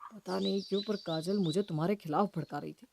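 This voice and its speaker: Calm young man as a woman voices him, plain-spoken, trusting, cartoon story, noisy recording. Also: fairly low pitch, fairly quick, vocal fry, pitched below the performer's own voice. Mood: confiding. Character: calm young married brother, straightforward and trusting. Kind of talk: Hindi moral-story cartoon acting.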